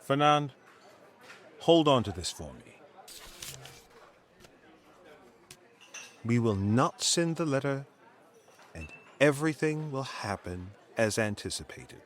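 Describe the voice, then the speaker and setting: An actor playing a sly, condescending schemer in a period audio drama, talking in a deliberate, manipulative way. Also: male voice, medium pitch, sing-song. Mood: manipulative, mock-resigned. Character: sly, scheming, condescending man plotting a rival's downfall. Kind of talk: dramatic audio fiction acting.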